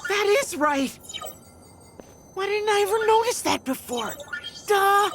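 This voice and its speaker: An actress playing a plucky, casual young female engineer in a video game cutscene, expressive and excitable. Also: very high voice, takes it slowly, music in the background, heavily acted. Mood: excited.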